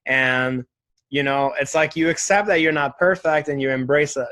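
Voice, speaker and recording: A man's voice: a little high, moderate pace, bright, forceful tone. A man in his twenties talking casually and reflectively as an interview guest, earnest, often saying "like" and "right?". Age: 20s.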